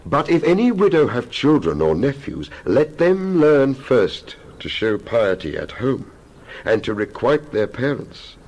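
A man reading scripture aloud in a dramatized style, solemn and declamatory, in measured phrases. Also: on the low side, a little mellow, low-quality recording. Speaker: Bible reader.

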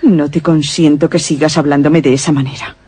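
A woman's voice: low voice, fairly even intonation, brisk, warm tone, slightly rough, no room sound. A woman playing a defiant, anguished young daughter in a radio horror drama, emotional and theatrical.

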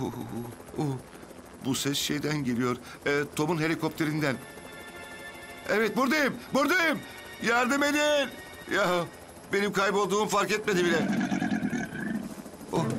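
An actor playing a nervous, easily scared older bus driver in a children's cartoon, jittery and muttering to himself. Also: male voice, high voice, moderate pace, pauses often. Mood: anxious. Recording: music behind the voice.

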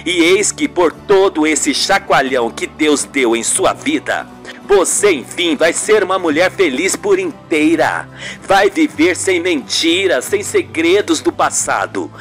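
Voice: mid-range voice, expressive, a little slow, fairly bright tone, soft background music. A performer voicing a tender, devout boyfriend in an amateur radio soap, reassuring in solemn, florid phrases.

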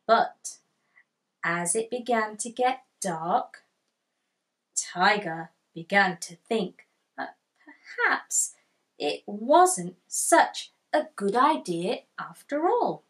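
A woman in her thirties reading aloud to young children as a storytime host, with deliberate emphasis and pauses to ask listeners questions. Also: mid-range voice, big pitch swings, fairly bright tone. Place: small indoor room with bookshelf.

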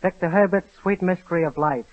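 A man's voice: high-pitched, fairly quick. Polite man, old dull radio sound. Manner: short, polite answers. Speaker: amateur show contestant.

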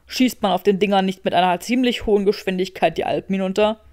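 A woman's voice: medium pitch, fast talker, a little mellow, lightly acted. A woman voicing a cocky, sardonic young man with a sarcastic drawl, in an audiobook story. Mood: argumentative.

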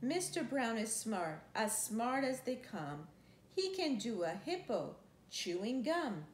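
Lady doing a kids book read-aloud, really bouncy pitch, slow, roomy living room sound. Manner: expressive, drawn-out words and imitated noises. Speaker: storyteller reading a children's book. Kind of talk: animated picture-book read-aloud with playful sound effects. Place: living room couch, indoors. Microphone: camera a few feet away. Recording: roomy, echoey sound with faint noise.

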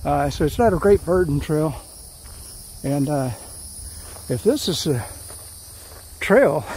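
A middle-aged man filming himself on a woodland hike, his voice a touch high but mellow and soft-edged. He talks in a loose, folksy ramble, full of fillers and false starts. Faint insect buzz hums in the background outdoors. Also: a little hiss or hum. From Florida. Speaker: hiking vlogger. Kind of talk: casual hiking commentary. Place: outdoors on a forest trail.

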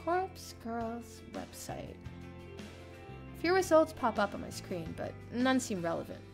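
A young woman streamer reading a visual novel aloud in an expressive, theatrical first-person narration. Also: fairly high pitch, slow. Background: soft game music.

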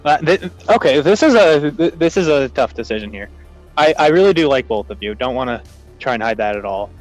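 A man's voice: mid-range voice, expressive, fairly quick, rough recording. Man, contestant, hesitant, stumbling restarts, faint music behind. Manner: hesitant, with restarts and a nervous laugh. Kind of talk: casual chat on a video call. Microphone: video call.

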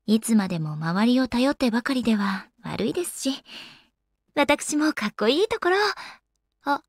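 A woman's voice: on the high side, lively intonation, normal speed, no echo, studio quality. A woman voicing an elegant young goddess in a video game, with polite, ladylike, composed speech. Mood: scheming, casual. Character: elegant young goddess, refined lady and avid gamer. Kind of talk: Japanese video game character voice acting.

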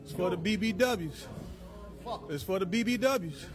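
A man's voice: high-pitched, expressive, normal speed. A man in his forties filming in a large indoor gym on a handheld phone, hyping lifters with chanted catchphrases and counting reps. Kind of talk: hype commentary on a workout. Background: loud music.

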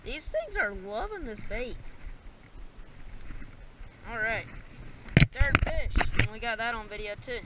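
A teenage boy with a high voice talks casually to his viewers as a fishing vlogger. He is out in a small boat, and the action camera picks him up from a distance under loud outdoor noise. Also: animated, slow pace, long pauses. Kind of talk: casual outdoor vlog chat.

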